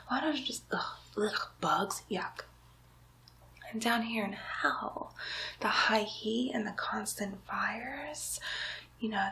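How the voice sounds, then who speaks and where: A woman's voice: mid-range voice, pushed and bright, poor audio. A woman playing a chatty, cheeky demon nurse in a whispered ASMR roleplay, coaxing and playfully condescending. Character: chatty, cheeky female demon nurse greeting souls in hell. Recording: faint background noise, close whispered recording.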